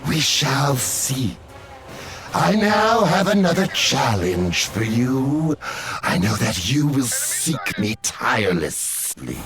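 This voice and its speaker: An actor playing a megalomaniac video game villain, gloating and mocking in a grandiose drawl. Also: male voice, mid-range voice, big pitch swings, takes it slowly, a bit bright, faint music behind, full character voice. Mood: mocking, tempting.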